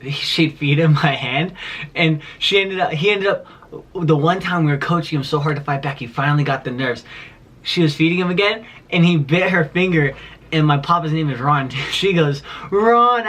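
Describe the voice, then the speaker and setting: A young man in his twenties with a fairly high voice tells a family story for his vlog. He rambles casually, piles on fillers and keeps laughing between his sentences. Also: fairly bright tone. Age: 20s. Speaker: vlogger.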